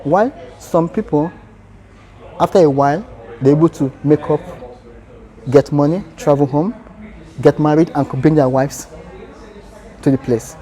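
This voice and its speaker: A man's voice: a little high, measured pace, long pauses, mellow, poor audio. A man with a Nigerian accent answering interview questions earnestly, in short phrases that restart.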